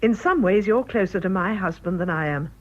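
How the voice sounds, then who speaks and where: A woman's voice: medium pitch, animated, brisk, close to an everyday voice. An actress playing a composed, earnest middle-aged clergyman's wife in a radio drama. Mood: guarded. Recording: dull lo-fi radio recording.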